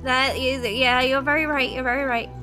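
A woman's voice: high-pitched, fairly even intonation, moderate pace, bright, forceful tone, soft background music. A woman playing an impatient, guarded young girl turned dragon in an improvised Minecraft roleplay. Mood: tired.

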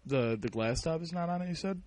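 A man's voice: fairly high pitch, animated, brisk, a little mellow. A man in a casual phone conversation, hesitant and often interjecting with uh-huh. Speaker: prank caller. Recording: phone prank call recording.

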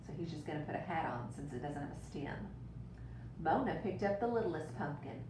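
A woman with a fairly low voice reads to young children like a teacher at story time. She is expressive and deliberate. The room echoes around her, and a clear rumble sits behind the voice. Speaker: teacher reading aloud to children. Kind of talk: storybook read-aloud with brief asides. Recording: roomy, distant mic with rumbling noise.

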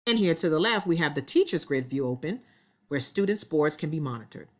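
A woman teacher giving clear step-by-step instructions in a screen-recorded software tutorial, voiced over the screen. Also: low-pitched, lively intonation, fairly quick.

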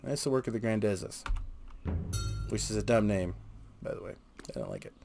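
A man's voice: fairly low pitch, wildly swooping pitch, brisk, vocal fry, music in the background. A man giving casual, sarcastic gameplay commentary and reading quest text aloud, grumbling mildly as he goes. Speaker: gaming video host.

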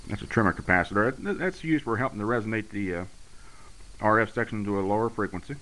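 A man's voice: on the low side, quick pace, a bit bright. A man giving a casual, hands-on technical walkthrough as a hobbyist, explaining things in a matter-of-fact way. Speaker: radio hobbyist demonstrating his conversion work. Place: indoor room at a home radio bench. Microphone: handheld camera's built-in mic. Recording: noisy amateur handheld camera audio. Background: clear background noise.

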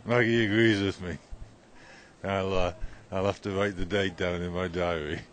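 Very deep-voiced man chatting after a concert. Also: normal speed. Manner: relaxed, rambling, with many fillers. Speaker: podcast host. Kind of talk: casual chat. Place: outdoors at a festival.

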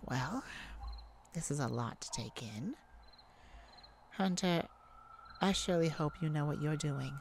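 A woman voicing a tender, wounded, lovesick vampire in an intimate ASMR roleplay, speaking haltingly and with emotion. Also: low voice, lively intonation, slight background noise. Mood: wary, sombre. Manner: hesitant, emotional, halting with sighs and pauses.

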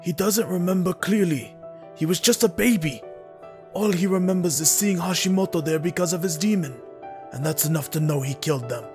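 A man's voice: sits high, a little fast, over music, natural voice. A man playing a grave, commanding lord and guardian uncle in an amateur audio drama. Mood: grim, certain.

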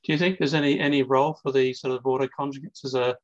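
An older man chairing an academic session by video call, putting questions in a halting, circling way. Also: mid-range voice, fairly even intonation. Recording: dull, lo-fi video call audio.

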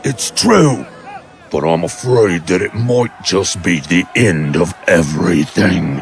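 A male demon, voiced by a man talks slowly in a creaky, gravelly voice that swings widely in pitch. He is grave, evasive and ominous, a dramatic audio drama figure. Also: lively intonation, soft background music, full character voice. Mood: grave dread.

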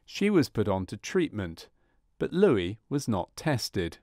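A man reading scripted narration for a medical training film in a measured, formal manner. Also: on the low side, very animated, a little mellow, dry recording, clean studio sound.

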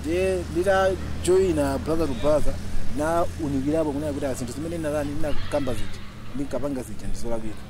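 A man's voice: fairly high pitch, quick pace, background noise. A man in his twenties from Malawi, giving a personal testimony in an outdoor interview. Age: 20s. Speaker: youth programme participant. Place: outdoors on a campus lawn.

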